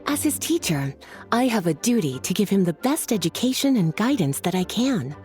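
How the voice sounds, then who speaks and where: A woman's voice: mid-range voice, very animated, normal speed, darkish tone, soft background music, natural voice. An actress playing a languid, flirtatious female mentor who calls others cuties and sweetie. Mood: teasing. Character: sultry, teasing female mentor and librarian.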